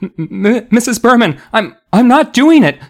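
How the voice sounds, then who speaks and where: A timid storybook schoolgirl, put on by a man, pitched far above his own talk. She stammers and pleads, mortified. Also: very animated, moderate pace. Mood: mortified, pleading.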